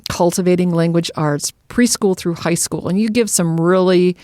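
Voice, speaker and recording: A woman's voice: on the low side, moderate pace, mellow, dry recording, clean studio sound. An American woman hosting a podcast in a conversational, organized and practical way, addressing listeners directly. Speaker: podcast host.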